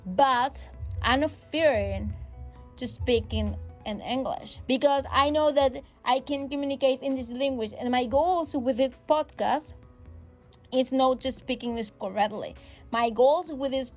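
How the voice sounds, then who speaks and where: A woman's voice: high voice, measured pace, darkish tone. A woman whose first language is Spanish, hosting a casual English-learning podcast. She is encouraging, repeats key phrases and makes small grammar slips. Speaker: English teacher and podcast host. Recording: faint music in the background.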